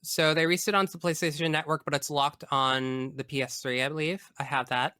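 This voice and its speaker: Male podcast guest, higher-pitched and crisp, effusive and emphatic, casual gaming chat, dry close sound. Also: fairly even intonation, fairly quick, bright, forceful tone, dry recording. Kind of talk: casual enthusiastic chat about video games.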